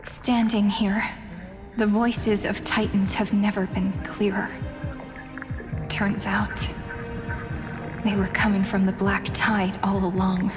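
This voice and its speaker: Solemn young heroine in long reverb. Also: female voice, medium pitch. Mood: solemn, sorrowful. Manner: slow, solemn, reflective. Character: sorrowful, reflective young heroine disillusioned with her world. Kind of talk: dramatic video game voice acting. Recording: long reverb, music underneath.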